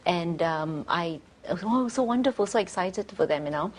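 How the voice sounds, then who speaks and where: Animated Malaysian woman telling her story. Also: fairly low pitch, normal speed, slight background noise. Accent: Malaysian English. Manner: animated, repeats and restarts phrases. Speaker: guest. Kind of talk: personal storytelling in a casual interview.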